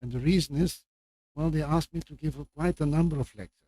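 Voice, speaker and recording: Older Flemish man with a very dark voice. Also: on the high side. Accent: Flemish Belgian. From Belgium. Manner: halting, with repeated words and asides. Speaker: guest lecturer, medical professor. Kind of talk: informal lecture introduction to an audience.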